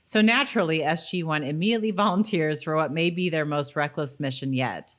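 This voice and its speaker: A woman with a low voice co-hosts a TV rewatch podcast. Her delivery is conversational and giggly, sliding into read-out recap narration. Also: fairly quick. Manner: giggly, breaks into laughter often. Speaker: podcast co-host. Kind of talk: casual TV rewatch chat with scripted recap narration.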